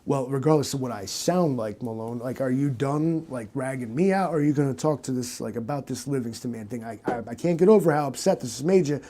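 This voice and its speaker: A man's voice: mid-range voice, a little fast, few pauses, mellow, pitched below the performer's own voice, close to an everyday voice. A man voicing a meek, naive young rookie detective who talks in a hesitant, stammering way, in improvised comedy. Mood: mildly fed up. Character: hapless, naive young male rookie detective, mild and confused.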